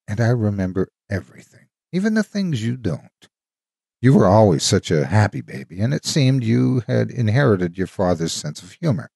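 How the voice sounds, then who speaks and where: A man narrating a dramatic story as a devoted, wistful ghostly guardian, an old soldier reminiscing tenderly in a husky, folksy way. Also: lowish voice, darkish tone, dry recording, clean studio sound, heavily acted. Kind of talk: dramatic first-person horror storytime narration.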